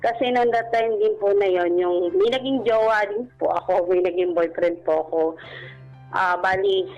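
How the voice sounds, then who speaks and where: A woman's voice: medium pitch, moderate pace, lo-fi sound. A Filipino woman speaking politely and deferentially as a radio caller, telling a personal story in a casual interview. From Philippines. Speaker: radio show caller, former overseas domestic worker. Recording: music bed behind voice. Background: background music.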